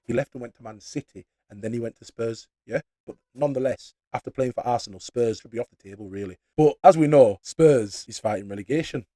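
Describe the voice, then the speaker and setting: A young man from Leeds with a Yorkshire accent. He rants about football in loose slang, mocking and animated, at a mid pitch. His voice sounds dry and close, with little room sound. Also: a little fast, hardly any pauses, no room sound. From Leeds, northern England. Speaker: football channel host. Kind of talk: casual opinionated football rant.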